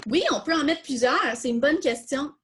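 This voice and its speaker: A woman's voice: high voice, moderate pace. A woman with a Quebec French accent hosting a live online coding workshop, informally thinking aloud and answering questions as she demonstrates. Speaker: workshop host.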